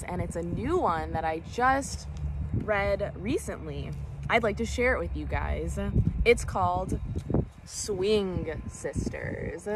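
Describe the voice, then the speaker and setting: A young adult woman reading a children's story aloud as a storytime host, recorded outdoors on a camera a short distance away. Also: medium pitch. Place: outdoors in woods by a creek. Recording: clear outdoor background noise, clicks.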